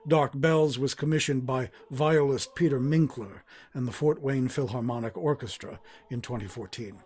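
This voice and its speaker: A man narrating a scripted documentary as host, in a measured, formal and articulate manner. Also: medium pitch, darkish tone. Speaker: host and director of a radio theater.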